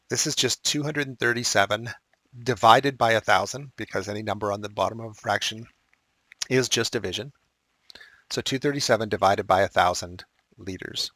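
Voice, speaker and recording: A male math teacher walking through unit conversions step by step, with casual asides and a slightly raspy, dry-throated voice. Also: fairly low pitch, sharp tone, no room sound. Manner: explains step by step with asides and fillers. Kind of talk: recorded math lesson walkthrough.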